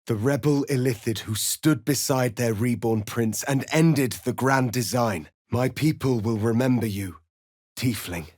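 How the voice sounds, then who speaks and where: An actor playing a proud, righteous rebel warrior prince in a fantasy video game, speaking in a solemn, formal, declamatory way. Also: male voice, medium pitch, measured pace, a little mellow, no room sound, clean studio sound, close to an everyday voice. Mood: solemn, earnest.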